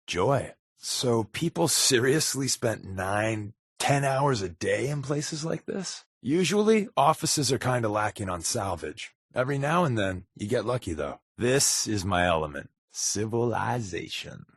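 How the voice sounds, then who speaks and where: A sardonic American secret agent, a sly fibber from a video game. His voice is slightly rough and husky, recorded very dry, and his pitch moves around a lot as he tosses off casual, deadpan quips. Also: unhurried, hardly any pauses, no echo. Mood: dry, playful. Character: wisecracking, sly adult male undercover agent, habitual fibber. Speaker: companion character in a video game.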